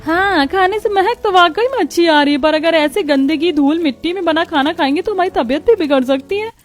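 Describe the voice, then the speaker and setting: A woman's voice: very high-pitched, fairly quick, faint music behind. A woman voicing a haughty, scornful rich modern neighbour in a cartoon, snobbish and mocking in manner. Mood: disgusted.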